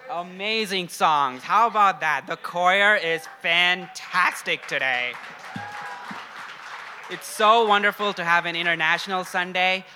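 A man's voice: high voice, background noise. A young man from Sri Lanka and India speaking to a congregation in an informal, conversational sermon style, sharing personal stories. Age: young adult. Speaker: guest preacher, grad student.

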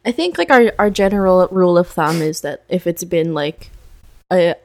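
A woman's voice: on the low side, animated, moderate pace, a little hiss or hum. A woman hosting a casual podcast over a video call, upbeat and giggly. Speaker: podcast host.

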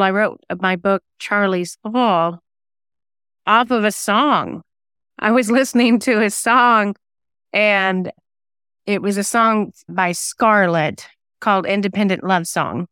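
A woman's voice: lowish voice, a bit bright, smooth, no echo, studio quality. A woman talking reflectively as an interview guest, thoughtfully telling personal anecdotes about creative work. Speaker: singer-songwriter and novelist guest; former radio DJ.

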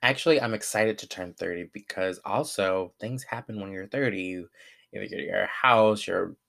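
A man in his twenties reads his own essay aloud, then reflects on it in a relaxed, candid way with frequent fillers. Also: fairly low pitch, normal speed, vocal fry, no echo, clean studio sound. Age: 20s. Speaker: vlogger.

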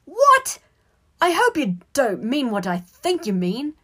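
A woman's voice: mid-range voice, a little slow, husky. A woman voicing a flustered, sheepish teenage schoolboy in a story, hesitant and defensive. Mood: indignant.

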